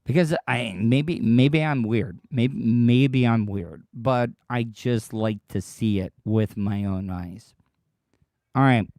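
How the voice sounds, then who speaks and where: Man, podcast host, mellow dark voice, dry close sound, tired and deeper than usual, rambling chat to listeners. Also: slow, no echo. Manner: rambling, repeats himself, talks straight to listeners. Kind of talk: casual solo livestream monologue.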